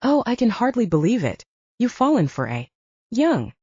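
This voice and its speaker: A woman's voice: on the high side, very animated, moderate pace, warm tone, slightly rough, no room sound, lo-fi sound, pitched above the performer's own voice, full character voice. A woman voicing an effusive, teasing twenty-year-old female cousin in an audiobook. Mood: gleeful. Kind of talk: audiobook character dialogue.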